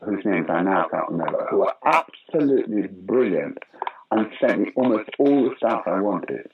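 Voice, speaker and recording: Low-voiced older man telling stories. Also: animated, normal speed, husky. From Britain. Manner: chatty storyteller, acts out quoted voices. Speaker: interviewee, actor and pantomime director. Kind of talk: casual anecdotal interview. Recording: dull lo-fi audio with faint noise.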